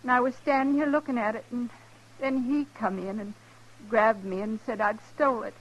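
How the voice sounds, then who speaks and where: A woman acting a wronged frontier farm wife, poor and wretched. Her voice is fairly high, rustic and plaintive, halting as she pleads in an old radio Western. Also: fairly even intonation, highs cut off, natural voice. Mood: wretched, pleading. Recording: vintage radio drama recording.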